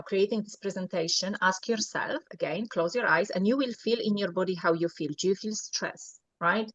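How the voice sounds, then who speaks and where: Polish lady coach talking earnest, keeps asking right?, muffled lo-fi sound. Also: mid-range voice. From Poland. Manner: earnest, often checks in with "right?". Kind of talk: coaching advice in an interview. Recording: muffled lo-fi audio.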